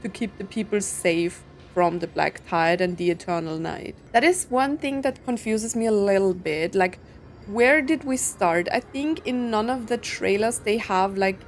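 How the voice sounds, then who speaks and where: A woman's voice: mid-range voice, unhurried, faint music behind. A woman giving casual game commentary, thinking aloud in a puzzled way with lots of filler words. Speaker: streamer playing a story game.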